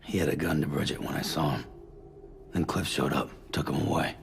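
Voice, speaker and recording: A stubborn, weary courier in a game cutscene. His voice is extremely deep and gravelly, and he mutters in short, gruff phrases over music. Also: fairly even intonation, brisk, crowd noise, heavily acted. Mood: terse, weary. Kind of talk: video game cutscene dialogue.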